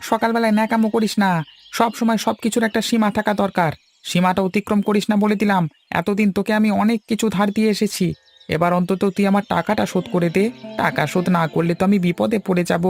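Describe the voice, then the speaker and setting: A cartoon village shopkeeper, voiced by a man, high-pitched and aggrieved. He rattles out his scolding demands very quickly. Music plays behind him. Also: flat delivery, creaky. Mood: scolding, annoyed. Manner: scolding, insistent. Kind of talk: cartoon dialogue acting.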